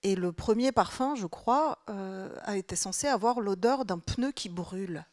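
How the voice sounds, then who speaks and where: A woman's voice: medium pitch, measured pace, darkish tone, no room sound, studio quality. A woman from France speaking as a writer at a public literary talk. She muses thoughtfully in long, winding sentences with occasional fillers. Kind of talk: live literary conversation, reflective.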